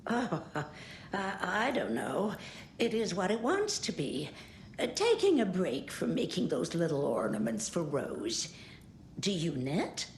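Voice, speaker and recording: An elderly care-home knitter in a video game, chatty and prickly. She takes her time, halting and scolding, with a swinging melody to her speech. The sound is roomy with faint noise. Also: fairly low pitch, wildly swooping pitch, full character voice. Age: older. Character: old, forgetful, prickly woman who knits, care-home resident.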